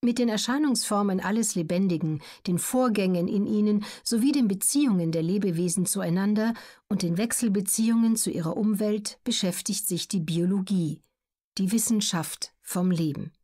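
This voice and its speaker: A woman narrating an educational audiobook in a measured, clearly articulated, scripted reading. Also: medium pitch, warm tone.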